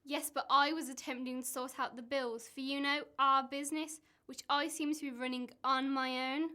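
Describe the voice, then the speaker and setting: A woman's voice: high voice, little pitch movement, moderate pace, pushed and bright. A woman in an amateur radio play acting a suspicious, exasperated wife, accusing and sarcastic.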